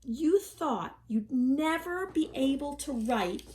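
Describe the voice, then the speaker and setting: A woman acts out a kind preschool teacher in a puppet show, speaking in a high, unhurried voice. She is earnest and gentle, instructing her young class with care. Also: expressive. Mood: tender, encouraging.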